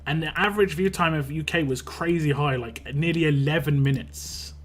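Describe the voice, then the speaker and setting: West london guy rambling on stream, kinda high voice, faint music behind. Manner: rambling, talks fast with frequent restarts and fillers. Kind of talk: casual live-stream chat while gaming.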